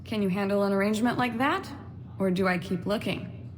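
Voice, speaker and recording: A woman's voice: mid-range voice, close to an everyday voice. A woman as a confident reporter in an echoing hall. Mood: self-assured. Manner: brisk, persuasive, self-assured. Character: confident, businesslike female military news reporter. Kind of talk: dramatic video game dialogue. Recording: reverberant hall, faint ambience. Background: faint ambience.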